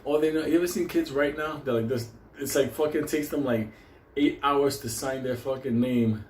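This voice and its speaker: A man's voice: mid-range voice, normal speed. A man whose first language is Spanish, telling rambling, profane stories in a casual, joking way. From Puerto Rico or a Puerto Rican community. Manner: rambling, heavy swearing, frequently breaking into laughter. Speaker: storyteller, host. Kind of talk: casual, profane comedic storytelling. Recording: roomy echo with faint background noise.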